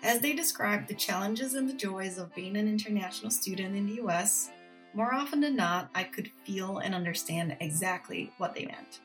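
A woman from Brazil hosting a podcast, reading her scripted narration in a measured, earnest way. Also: medium pitch. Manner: measured, earnest, reads with steady emphasis. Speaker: podcast host. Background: faint music.

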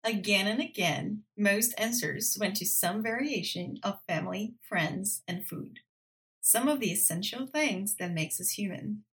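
A woman from Brazil hosting a podcast, reading her scripted narration in a measured, earnest way. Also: medium pitch. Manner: measured, earnest, reads with steady emphasis. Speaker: podcast host. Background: faint music.

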